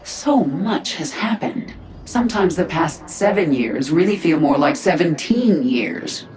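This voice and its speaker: A woman's voice: sits low, expressive, measured pace, darkish tone, poor audio. An actress playing a sardonic, battle-hardened female leader of an alien swarm in a video game, with a dry, sarcastic delivery. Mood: weary. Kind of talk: video game cutscene acting.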